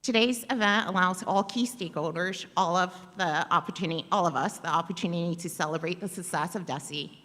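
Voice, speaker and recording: Woman reading formal speech at a podium, lowish voice, measured delivery, reverberant hall. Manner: measured, formal, with soft um fillers. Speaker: guest speaker delivering official remarks. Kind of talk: reading prepared formal remarks. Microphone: podium microphone.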